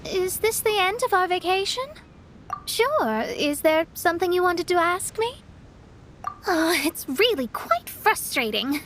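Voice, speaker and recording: A woman's voice: very high-pitched, sing-song, unhurried, fairly bright tone, slight background noise, heavily acted. A woman voicing a poised, earnest young astrologer in a video game. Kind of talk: video game character voice acting.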